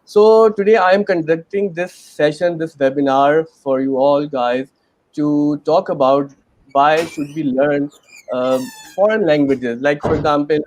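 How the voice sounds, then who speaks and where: A man's voice: a little high, measured pace, a little mellow, slight background noise, poor audio. A man with a Pakistani accent hosting a panel webinar in a chatty, earnest way, with many fillers. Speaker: webinar host.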